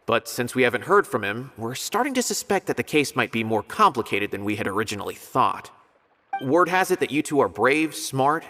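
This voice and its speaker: A man's voice: mid-range voice, pushed and bright. A man voicing a polite, earnest officer in an anime-style game, courteous and slightly hesitant, with a worried seriousness.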